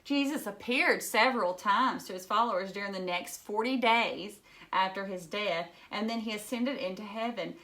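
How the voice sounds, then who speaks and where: A woman's voice: mid-range voice, bright, forceful tone. A woman reading a children's book aloud like a teacher, explaining things simply and adding chatty asides addressed to young listeners. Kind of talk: read-aloud storybook narration with chatty asides.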